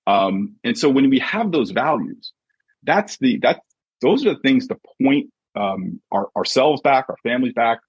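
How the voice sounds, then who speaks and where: A husky-voiced man giving an emphatic, conversational motivational talk as a coach and podcast guest, often checking in with short rhetorical questions. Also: medium pitch, lively intonation, unhurried, no room sound. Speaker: podcast guest, sports mindset coach and author. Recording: dull, muffled lo-fi audio.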